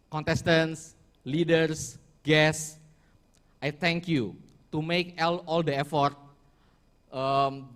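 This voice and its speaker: A man giving a formal closing speech as event organizer, halting at times and correcting himself as he goes. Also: on the high side, a bit bright. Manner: halting, with restarts and self-corrections.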